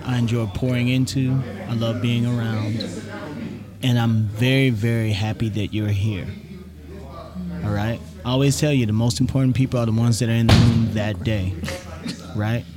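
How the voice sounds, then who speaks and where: A man hosting a casual podcast round a table, encouraging and emphatic, often checking in with "all right?". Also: medium pitch. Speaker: podcast host and gym owner.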